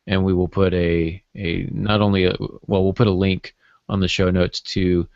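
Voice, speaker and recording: A man's voice: deep voice, level tone, normal speed, dry recording. A man chatting casually as a podcast co-host, with a wry, joking manner. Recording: dull, muffled lo-fi audio.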